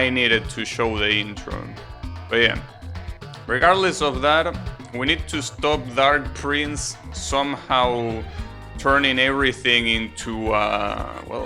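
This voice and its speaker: A man's voice: medium pitch, pushed and bright. A man giving casual, rambling live commentary as a game streamer, chatting and explaining as they go. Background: video game music.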